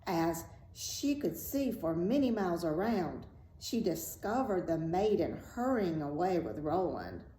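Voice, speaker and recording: A woman reading a fairy tale aloud as a storyteller, in a measured, dramatic and expressive way. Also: fairly low pitch. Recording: roomy echo, faint background noise.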